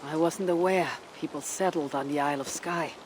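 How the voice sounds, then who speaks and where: A woman's voice: low-pitched, steady tone, normal speed, noisy background, natural voice. An actress playing a stoic, plain-spoken female Viking warrior in a video game, guarded and resolute. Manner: guarded, resolute, matter-of-fact. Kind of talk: dramatic video game acting. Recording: faint rainy background ambience.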